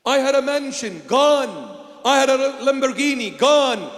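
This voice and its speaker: Man, bishop preaching slowly in a large echoing hall; dramatic swings in volume. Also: very high voice, expressive. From Iraq. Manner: dramatic, swings from loud exclamations to hushed lines. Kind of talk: sermon.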